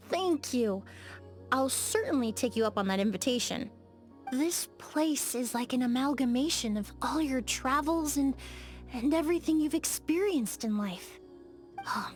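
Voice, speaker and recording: Game role: refined young woman perfumer, higher-pitched, exacting and courteous, measured diction, music underneath. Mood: gracious, then wistful. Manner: measured, articulate, politely blunt. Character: poised, precise, polite young woman perfumer. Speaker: game character voice actor. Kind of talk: scripted video game dialogue.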